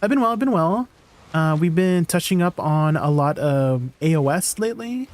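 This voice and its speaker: Male streamer, casual live chat, bit high-pitched, filler-heavy, thinking out loud. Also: lively intonation, moderate pace. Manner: thinks aloud with many fillers and restarts.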